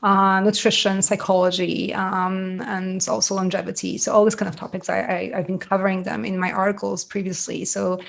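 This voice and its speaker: Woman, Polish accent, interview guest, gravelly, monotone, self-correcting. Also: mid-range voice, moderate pace, few pauses. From Poland. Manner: thoughtful, self-correcting, laughs lightly. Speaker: author guest. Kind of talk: podcast interview.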